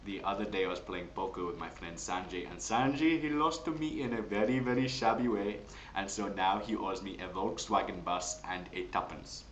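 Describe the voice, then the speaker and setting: A man's voice: sits low, normal speed, few pauses, a bit bright, roomy sound, a little hiss or hum, rough recording. A man voicing an aggrieved, insistent Indian poker player with an Indian accent. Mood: indignant.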